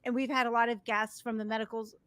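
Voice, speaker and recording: A woman's voice: fairly high pitch, little pitch movement, racing, a bit bright, smooth. A woman hosting a podcast interview, asking questions in an upbeat, enthusiastic way. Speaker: podcast host.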